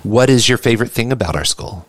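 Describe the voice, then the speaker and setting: A man's voice: medium pitch, expressive, fast, no room sound. A man reading a list of set questions as an interviewer. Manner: evenly reads set questions.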